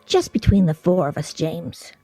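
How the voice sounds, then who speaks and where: A woman's voice: on the low side, animated, moderate pace, dark tone, husky, soft background music. An actress in a radio play playing an insistent, questioning woman nearing fifty who prods with emphatic delivery. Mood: probing, insistent.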